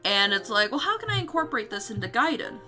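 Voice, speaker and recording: Brief: female streamer, bright forceful voice, giggly and rambling, informal chat, music underneath. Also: fairly high pitch, sing-song, fast talker. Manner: giggly, rambling, swears casually. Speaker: livestream host and artist. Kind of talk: casual livestream chat about own story notes.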